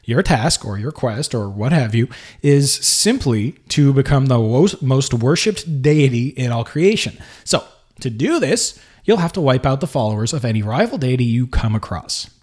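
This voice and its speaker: A man hosting a podcast, talking loosely and unscripted, with frequent pauses and self-corrections. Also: medium pitch, expressive, darkish tone, slightly rough. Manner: unscripted, halting, many fillers and restarts. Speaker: podcast host. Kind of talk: casual solo podcast talk.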